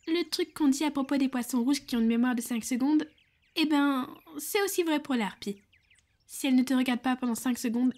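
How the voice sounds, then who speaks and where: Woman playing a high-voiced, teasing Cupid. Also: darkish tone, natural voice. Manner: breezy, teasing, explanatory. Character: playful female Cupid, teasing matchmaker who loves puns. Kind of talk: French ASMR roleplay acting.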